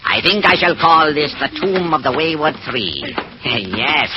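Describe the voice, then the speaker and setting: An actor playing a coolly murderous cult leader in 1940s radio drama style, gloating and theatrical. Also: male voice, on the high side, very animated, measured pace. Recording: muffled, noisy old radio recording.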